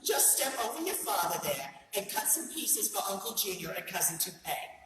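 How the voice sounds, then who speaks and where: A woman's voice: mid-range voice, big pitch swings, normal speed, a bit bright, faint music behind. A woman playing a brassy, sarcastic, melodramatic mobster's wife in a comic radio play. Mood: breezy. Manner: sassy, melodramatic, slangy scolding. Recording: noisy echoey hall, distant mic.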